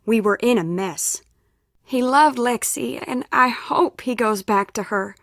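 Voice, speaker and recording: A troubled young woman in a mystery game, with a fairly high voice. She is earnest and urgent, pleading as she confides. The sound is close and dry. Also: a little slow, creaky, no echo. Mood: grave confiding. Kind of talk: scripted game voice acting.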